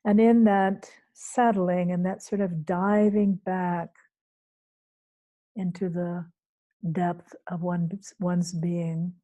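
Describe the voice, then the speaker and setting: A woman teacher leading a guided meditation in a soothing, unhurried way, leaving long pauses between phrases. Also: on the low side, little pitch movement, warm tone. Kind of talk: guided meditation, spoken off the cuff to a group.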